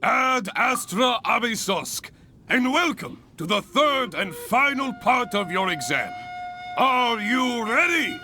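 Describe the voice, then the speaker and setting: An actor playing a hearty, grandiose adventurers' guild examiner in a video game, speaking in formal, exuberant flourishes. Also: male voice, very high voice, very animated, slow pace, pushed and bright, faint music behind, full character voice. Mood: rousing, grand. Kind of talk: English video game voice acting.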